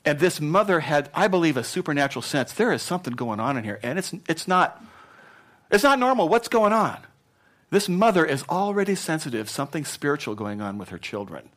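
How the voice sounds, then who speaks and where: A middle-aged man preaching a sermon in a conversational, animated way, joking and acting out quotes, then softening to an earnest tone. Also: a little high, fairly quick. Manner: softens to a gentle, earnest tone when encouraging. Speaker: pastor. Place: church.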